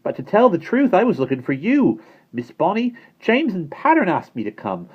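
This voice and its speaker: A man's voice: high-pitched, huge pitch swings, moderate pace, husky, pitched above the performer's own voice, full character voice. A man reading aloud voices a cheeky, cheerful country boy selling geese, brash and wheedling. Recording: dull, lo-fi recording.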